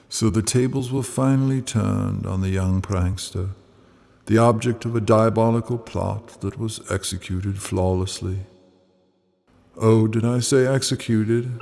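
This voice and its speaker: A man playing a gleefully sinister, sardonic host of an old-time radio mystery, in a theatrical, drawn-out manner. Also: lowish voice, creaky. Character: sinister, gleeful male horror-tale host. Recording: loud music under the voice.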